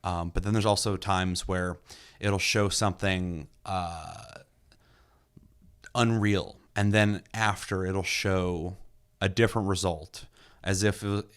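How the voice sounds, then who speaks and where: A young man with a low-pitched voice talks casually about a film he enjoyed. He is loose and enthusiastic, full of ums and restarts, and now and then throws in a curse word. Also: fairly even intonation, slow, a bit bright, clear, resonant tone. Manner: loose and enthusiastic, many fillers, occasional swearing. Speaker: movie reviewer, podcast host. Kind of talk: casual film review chat.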